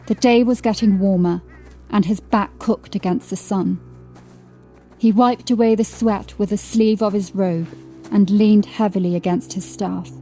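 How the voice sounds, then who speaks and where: A woman narrates a scripted horror story. Her voice is soft-edged and mellow, sitting at an average female pitch. She reads dramatically over quiet music. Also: fairly even intonation, a little slow.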